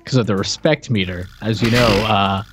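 A man co-hosting a podcast in casual, unscripted chat, with a halting, rambling delivery full of repeated words and stammers. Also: lowish voice, over music. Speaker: podcast co-host reviewing retro video games.

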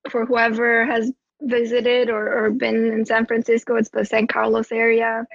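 A woman's voice: fairly high pitch, monotone, moderate pace, vocal fry, slightly rough, no echo, highs cut off. A woman, a therapist talking as a guest in an interview, rambling with many restarts. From Romania. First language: Romanian. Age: 30s. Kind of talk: conversational interview.

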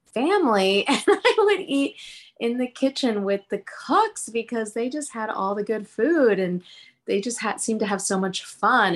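A woman guest who was born in India and grew up in Pennsylvania, telling stories from her childhood in a chatty, animated way. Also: medium pitch. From India, raised in Pennsylvania. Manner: chatty and animated, with fillers and laughs. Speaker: guest, cookbook author. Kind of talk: storytelling interview answers.

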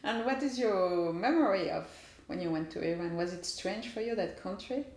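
A woman's voice: lowish voice, moderate pace, darkish tone. A woman asking informal interview questions, tentative and trailing off, with non-native phrasing. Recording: echoey room.

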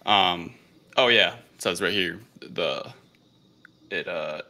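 A man's voice: sits low, slow pace, pushed and bright. A man hosting a casual podcast film review, speaking in a hesitant, unscripted, rambling way. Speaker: podcast host.

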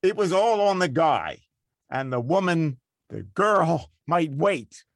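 A man's voice: fairly high pitch, lively intonation, slow, no echo. Enthusiastic male interview host, dry sound. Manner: friendly, enthusiastic and encouraging. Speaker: podcast host. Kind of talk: interview hosting.

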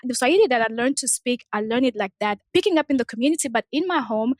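A woman's voice: fairly high pitch, expressive, fast talker, darkish tone, no room sound, clean studio sound. A young woman from the DRC, casually telling a personal story and acting out what others said. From Democratic Republic of the Congo. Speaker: panel discussion participant.

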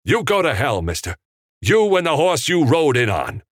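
A man voicing a short, fiery, indignant film director in an audiobook, gruff, snappy and sarcastic. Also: mid-range voice, very animated, moderate pace, a bit bright, no room sound, clean studio sound, voice pushed up.

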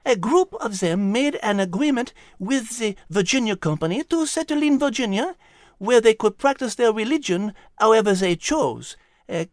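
A woman acts out a refined female animal with a French accent for a storybook. She explains thoughtfully at a medium pitch, with expressive melody. The recording is dry. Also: no room sound, natural voice. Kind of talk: audiobook storybook character voice.

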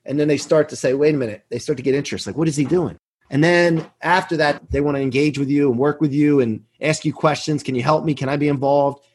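A man in his forties speaks as a podcast guest with real conviction. His voice is rough-edged and sits a little higher than average. He is self-assured and earnest, stressing his points as he tells motivational business stories. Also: fairly even intonation, quick pace, a little mellow. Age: 40s. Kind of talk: motivational interview talk, anecdotes.

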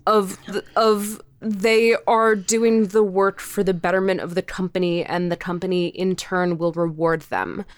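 A woman's voice: medium pitch, little pitch movement, a little slow, few pauses, no echo. A young woman chatting casually as a podcast guest, emphatic and openly opinionated, with informal, sweary phrasing. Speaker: podcast guest, indie audio drama creator. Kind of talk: casual interview conversation.

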